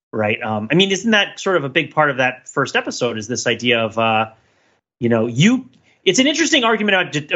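Male podcast co-host, bright and husky, casual but intellectual and discursive, lo-fi recording. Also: medium pitch. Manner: discursive, hedging, intellectual way of talking. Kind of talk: casual analytical discussion of a TV show.

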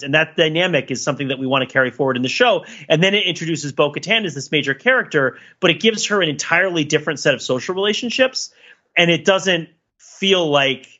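A man's voice: fairly high pitch, pushed and bright, highs cut off. A man co-hosting a podcast in a casual discussion, talking emphatically and repeating himself for effect. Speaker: podcast host. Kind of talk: casual pop culture discussion.

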